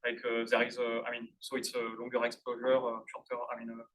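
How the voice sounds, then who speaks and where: An audience member at a conference adding a comment, speaking hesitantly with many fillers. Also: male voice, mid-range voice, monotone, quick pace, a bit bright, poor audio.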